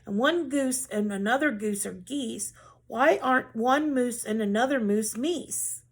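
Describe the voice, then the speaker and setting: Woman reader as quirky male teacher, children's storybook; high-set voice, emphatic rhetorical questioning. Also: a little slow, breathy edge. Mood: puzzled, insistent. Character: quirky male schoolteacher puzzling over the English language.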